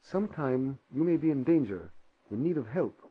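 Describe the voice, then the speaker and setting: An actor playing a loyal, careful valet in a radio drama, speaking clipped, deferential broken English. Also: male voice, mid-range voice, animated, normal speed, husky. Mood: cautious concern. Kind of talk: old-time radio drama acting. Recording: dull lo-fi vintage radio recording.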